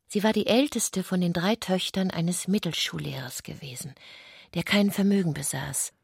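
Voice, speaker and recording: A woman narrating literary prose for a radio play in a breathy voice. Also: mid-range voice, a little mellow, dry recording, studio quality.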